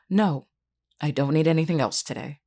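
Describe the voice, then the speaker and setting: Woman acting bitter, low-voiced young female student. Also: a little slow. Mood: resigned, bitter. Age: young adult. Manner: sarcastic, emphatic, swearing. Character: determined, embittered young female student, sharp-tongued. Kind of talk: dramatic audio roleplay acting.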